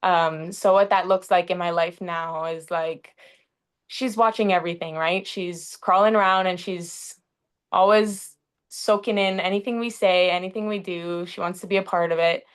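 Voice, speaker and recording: A young woman from Hawaii speaking earnestly in a panel talk, often pausing with "um" between thoughts. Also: on the low side, steady tone, clean tone. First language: English. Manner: earnest, frequent "um" fillers. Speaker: panel facilitator.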